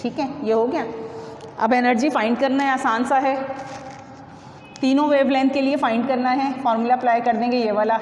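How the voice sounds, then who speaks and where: A woman's voice: high voice, fairly even intonation, normal speed, a little mellow. Woman teacher in an echoey, reverberant room. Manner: instructive, poses questions then answers them herself; mixes Hindi-Urdu with English technical terms. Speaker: physics teacher. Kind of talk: step-by-step classroom lesson working through numericals. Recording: echoey reverberant room, clear background noise.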